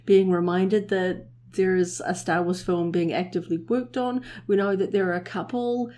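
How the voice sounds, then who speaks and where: A woman's voice: lowish voice, fairly even intonation, normal speed, dark tone. A New Zealand woman co-hosting a podcast, speaking thoughtfully with occasional ums. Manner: thoughtful, hopeful, with filler ums. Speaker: podcast co-host. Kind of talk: casual podcast discussion.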